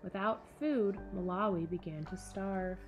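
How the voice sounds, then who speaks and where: An American woman in her thirties hosting a children's storytime with animated enthusiasm, presenting and reading aloud in a room at home. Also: medium pitch, unhurried, faint music behind. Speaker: camp director hosting a children's story corner. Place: small furnished room at home, indoors.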